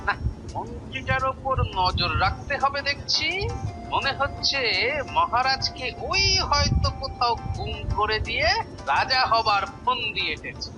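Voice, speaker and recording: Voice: sits high, unhurried, over music, poor audio. An actor with a Bengali accent playing a clever, suspicious male court jester in a cartoon, musing and exclaiming. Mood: scheming.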